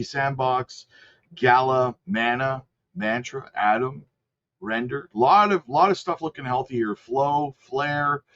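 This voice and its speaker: A man with a cold, host of a channel, casually talking through market charts and hedging his calls, clearing his throat now and then. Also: medium pitch. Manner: rattles off lists, chatty and hedging. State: sick with a cold. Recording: slightly dull lo-fi audio.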